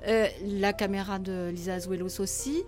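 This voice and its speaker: A woman's voice: medium pitch, steady tone, normal speed, darkish tone, faint music behind. A woman, a magazine editor, reviewing a film on radio in a thoughtful, critical way, with occasional stammering.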